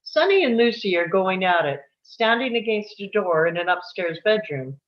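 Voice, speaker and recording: A woman's voice: mid-range voice, sing-song, moderate pace, muffled recording. A woman reading stage directions as scripted narration at a table read.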